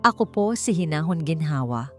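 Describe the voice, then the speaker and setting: A woman voicing a humble, determined young woman looking for work in an audiobook story, polite and soft-spoken. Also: low-pitched, moderate pace, faint music behind. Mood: meek, polite. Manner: polite, soft-spoken, hesitant yet steady.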